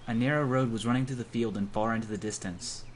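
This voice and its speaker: A man's voice: mid-range voice, fast, a little hiss or hum. A young man, an amateur, reading a visual novel aloud with casual banter and stumbling over words as he goes. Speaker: let's-play co-host.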